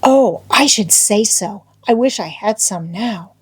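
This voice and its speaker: A woman's voice: mid-range voice, expressive, a little slow, slightly rough. A woman voicing an eager, boastful young schoolboy in love in an audiobook, with folksy old-fashioned rural American wording and a wheedling manner. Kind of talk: audiobook character voice.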